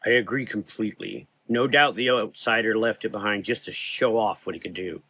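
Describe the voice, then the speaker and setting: A man playing a weary, learned and brooding occult hunter, speaking in a measured, formal, ominous way in a gothic horror audio drama. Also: fairly low pitch, sharp tone.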